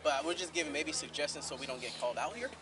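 A man's voice: on the high side, a loud crowd. A man, a police officer, talking easygoing and repetitive with a street preacher on a city street. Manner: rapid, easygoing, repeats himself. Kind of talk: casual conversation. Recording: distant voice, loud street noise.

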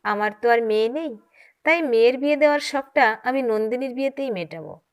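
A calm, generous young-hearted wife acted out by a woman storyteller in an audio story. She speaks in a fairly high voice, gently persuading and reassuring. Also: brisk, lightly acted. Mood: respectful, earnest.

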